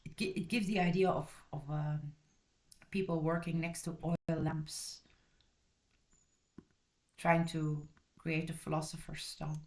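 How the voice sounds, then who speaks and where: A woman from Belgium talks through a book in a loose, casual review, her voice sitting a little low. She restarts and corrects herself often and drifts into tangents, and her manner is blunt and direct. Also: fairly even intonation, a little slow, pauses often, a little mellow. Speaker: channel host reviewing a book.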